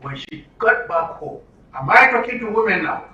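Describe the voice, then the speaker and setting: Bright, emphatic Nigerian man presenting, roomy sound. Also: high voice, lively intonation, moderate pace, highs cut off. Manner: emphatic, poses questions and answers them. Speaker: presenter at a health orientation for media. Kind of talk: interactive public talk. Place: room with an audience. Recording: roomy, distant mic, faint noise.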